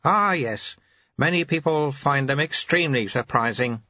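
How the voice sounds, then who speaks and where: A man's voice: medium pitch, no echo, lo-fi sound, voice pushed up. A man doing an amiable old monk sweeper. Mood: amused. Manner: gently teasing, unhurried.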